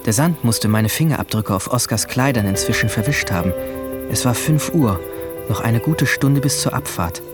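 A man's voice: mid-range voice, lively intonation, natural voice. An actor playing an anxious, guilt-ridden seventeen-year-old boy, narrating his story introspectively in an audio drama. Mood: brooding. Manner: introspective, halting in dialogue. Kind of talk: first-person narration in a German audio drama. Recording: music under the voice.

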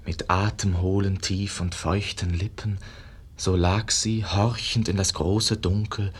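An actor playing a dreamy, rapturous young painter's disciple, declaiming verse in a hushed, lyrical way in a 1950s radio drama. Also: male voice, sits low, fairly even intonation, a little slow, slightly rough. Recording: muffled-edged 1950s radio play recording.

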